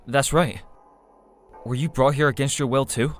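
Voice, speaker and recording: A man's voice: medium pitch, steady tone, normal speed, faint music behind, lightly acted. A man voicing a blunt, brash teenage schoolboy in an anime-style game dub. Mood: puzzled.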